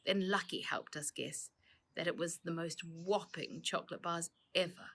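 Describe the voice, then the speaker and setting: Woman reading as a concerned mum, gentle and calm, soft rasp, children's story. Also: lowish voice, moderate pace. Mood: explaining. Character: caring, calm mother explaining and comforting.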